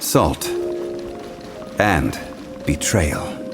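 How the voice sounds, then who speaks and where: An actor playing a calm, ancient, scholarly man in a video game, speaking formally and gravely with measured eloquence. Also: extremely low pitch, over loud music, exaggerated voice. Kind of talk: scripted video game voice acting.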